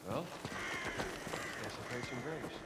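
A man's voice: mid-range voice, slow pace, background noise, natural voice. A man playing a sardonic, eager American treasure hunter, acted naturally for a video game. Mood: eager, impressed.

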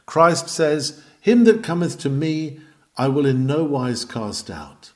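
A man's voice: on the high side, lively intonation, takes it slowly, darkish tone. A man reading an old sermon aloud in an emphatic, exhorting manner, with old-fashioned oratory. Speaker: reader of a historical sermon.

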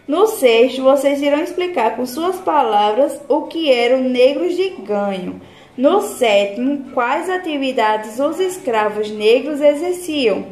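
A woman's voice: sits high, darkish tone. A young adult woman with a Brazilian accent, a primary school teacher giving a scripted lesson to children in a classroom. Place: small tiled classroom, indoors. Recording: echoey room with background noise.

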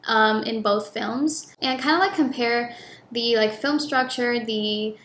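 A woman's voice: on the high side, slow pace, a bit bright. A young woman vlogger chatting casually and informally to viewers from a room at home, drawing out some words. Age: young adult. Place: echoey home office room, indoors. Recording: echoey room, clear background noise.

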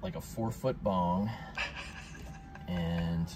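A man's voice: sits low, extremely slow, background noise. A man chatting casually as he works on a car installation, laid-back and slangy. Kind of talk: casual chat while working.

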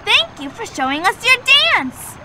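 Bright, very high young girl explorer, slow and lively, music on. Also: exaggerated voice, rough recording. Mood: guiding. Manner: cheerful, encouraging, speaks to the player. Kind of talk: children's video game voice acting.

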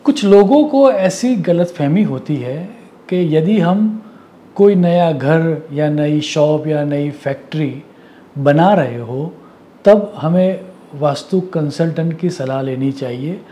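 A man gives a measured, didactic talk to camera, mixing in English words. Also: fairly high pitch, animated, dark tone. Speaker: Vastu consultant presenting. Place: indoor office or study. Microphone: lapel mic.

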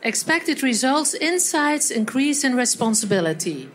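A woman's voice: high voice, big pitch swings, a little slow. A woman from Belgium co-presenting a live training workshop, explaining steps in slightly non-native phrasing. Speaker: seminar trainer. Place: event hall.

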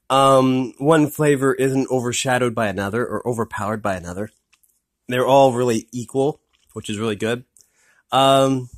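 A man talks through a snack review from behind his phone camera, hesitating with frequent ums and restarts. His voice sits at an ordinary middle pitch, and he circles back to the same praise over and over in an easygoing, unscripted way. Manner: hesitant, many ums, repeats "really, really good". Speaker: product reviewer. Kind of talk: casual food review vlog.

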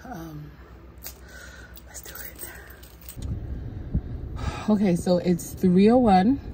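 A woman's voice: medium pitch, expressive, extremely slow, dark tone, a little hiss or hum, low-quality recording. A young woman talking casually and candidly to her phone camera, mostly from inside a parked car, upbeat despite her troubles. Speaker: vlogger. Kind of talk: casual vlog chat. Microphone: phone held at arm's length.

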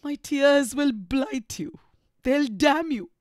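A tormented, savage male lover from a classic novel, acted out by a woman. The delivery is impassioned and accusing, high in pitch, with drawn-out pacing. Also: darkish tone, no echo, studio quality. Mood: accusing, heartbroken. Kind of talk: dramatic reading of a classic novel.

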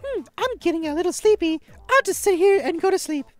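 Woman doing a very high-pitched, ditzy rabbit schoolgirl. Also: animated, fairly quick, darkish tone, no room sound. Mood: languid. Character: ditzy, cheerful young rabbit-eared schoolgirl. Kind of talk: visual novel character dubbing. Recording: music behind the voice.

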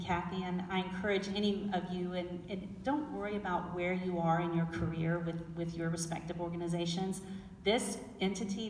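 Middle-aged Southern woman, panel guest, relaxed and chatty, recorded far off with hall echo. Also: fairly low pitch, slight background noise. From South Carolina. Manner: relaxed, chatty, with frequent fillers and restarts. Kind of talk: onstage fireside chat. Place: large indoor event hall with an audience. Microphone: handheld mic, recorded by a far camera.

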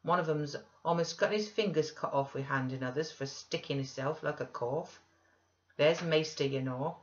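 Lady doing a gossipy old yorkshire servant guy for a storybook, scornful, low, muffled recording. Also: close to an everyday voice. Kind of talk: dramatized audiobook reading.

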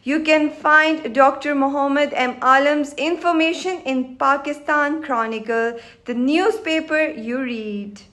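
A woman's voice: high-pitched, measured pace, few pauses, fairly bright tone. A woman presenter in a news studio, formally reading a scripted introduction. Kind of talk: scripted announcement.

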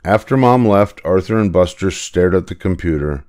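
A man's voice: low voice, animated, normal speed, darkish tone. An older man reading a children's picture book aloud as narrator. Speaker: storybook reader.

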